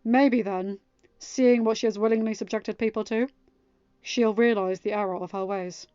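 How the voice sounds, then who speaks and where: A woman's voice: medium pitch, fairly even intonation, moderate pace, mellow, smooth, no room sound, lo-fi sound, natural voice. Woman as a blunt, dry male councillor. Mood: blunt, firm.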